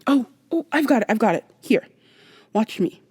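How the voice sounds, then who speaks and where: Actress as earnest female time traveler for ASMR drama: mellow, close and dry, protective, slightly flustered and long-winded. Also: on the high side, lively intonation, normal speed, slightly rough, no room sound. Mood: hurried, explaining. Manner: hesitant, rambling, gently reassuring, some whispering. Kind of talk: ASMR roleplay, softly acted monologue to the listener. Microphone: close binaural microphone.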